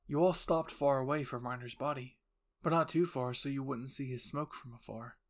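A man's voice: mid-range voice, normal speed. Man narrating a dramatic anime roleplay. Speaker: narrator and channel host. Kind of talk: dramatic second-person scripted narration.

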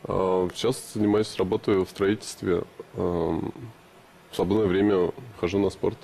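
A man's voice: low-pitched, fairly even intonation, normal speed, vocal fry, mellow, slight background noise, rough recording. A young man answering interview questions in short, hesitant replies with many fillers. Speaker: bachelor guest.

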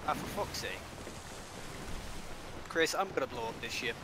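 A man's voice: medium pitch, expressive, moderate pace. A young man bantering casually during an online game in a sweary, exasperated manner. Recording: very noisy game voice chat.

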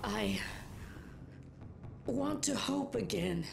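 A woman's voice: mid-range voice, lively intonation, extremely slow, creaky, over music, full character voice. A woman playing a wry, passionate winged warrior heroine in a video game, with dramatic delivery. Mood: earnest. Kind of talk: video game cutscene acting.

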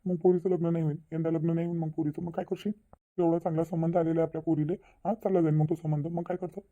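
A man's voice: fairly high pitch, flat delivery, rapid-fire, narrow, tinny audio, lightly acted. A man voicing a troubled, insistent middle-aged village father in a Marathi cartoon, earnest and persuading, with a Vidarbha Marathi accent.

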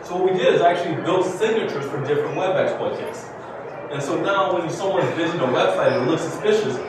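A man giving a conference keynote as a security researcher, posing rhetorical questions and tagging points with "right?". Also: fairly high pitch. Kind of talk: conference presentation to an audience. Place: indoor conference room with seated audience. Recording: very echoey room, distant mic, clearly noisy.

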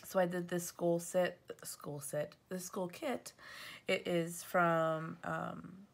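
A woman's voice: lowish voice, flat delivery, slow pace, gravelly. A woman with a sniffly voice from allergies, chatting through her planner stickers with fillers and self-corrections. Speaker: planner vlogger. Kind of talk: casual show-and-tell narration. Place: at home at a table.

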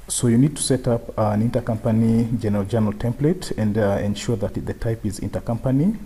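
A man with a low, mellow voice and a husky edge walks through a software tutorial. He explains each step in turn in an unscripted way, often circling back over the same phrases. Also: brisk. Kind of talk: unscripted screen-share tutorial.

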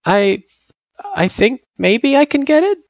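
A storyteller voicing a young girl, an eager but unsure pupil who speaks earnestly and haltingly in an audiobook-style story. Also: medium pitch, sing-song, dry recording, dull audio, voice pushed up. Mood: hesitant, worried. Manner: hesitant, stammering, earnest.

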